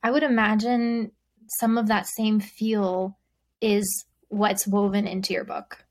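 Woman host asking gentle questions. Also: medium pitch, flat delivery, slow. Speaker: interview host. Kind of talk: casual interview.

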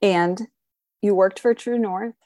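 A woman hosts a radio interview, asking friendly, chatty questions of her guest. Her voice sits at a middle pitch. The sound is close and dry, with no room echo. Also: fairly even intonation, takes it slowly, warm tone. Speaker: radio host.

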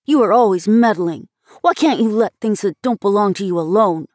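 A woman voicing a quick-tempered young boy in a storybook, blurting and indignant. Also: mid-range voice, a little mellow, no room sound, clean studio sound, natural voice. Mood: angry.

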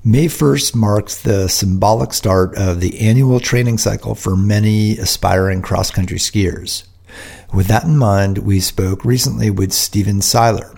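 Low gravelly male podcast host. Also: unhurried, darkish tone. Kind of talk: scripted podcast intro, then interview questions.

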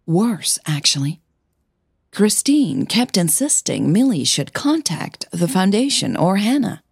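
A woman narrating scripted audio fiction as a podcast storyteller, with measured, deliberate phrasing and short pauses. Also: mid-range voice, big pitch swings, gravelly, slightly rough, dry recording, studio quality.

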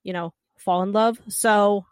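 A woman's voice: mid-range voice, steady tone, measured pace, smooth, dry recording. Chatty woman in a dry room. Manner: chatty, lots of "like" and restarts. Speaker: podcast co-host. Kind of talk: casual discussion of a TV drama.

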